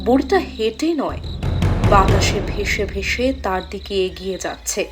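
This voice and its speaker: A woman's voice: medium pitch, expressive, moderate pace, over loud music. A woman narrating a scripted fairy tale as a storyteller.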